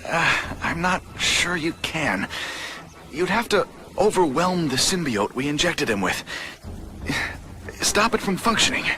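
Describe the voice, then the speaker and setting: A man playing a shaken, defensive corporate scientist in a video game, speaking in a halting way. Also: a little high, sing-song, bright, forceful tone, a little hiss or hum, heavily acted. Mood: halting, grave. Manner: halting, hesitant.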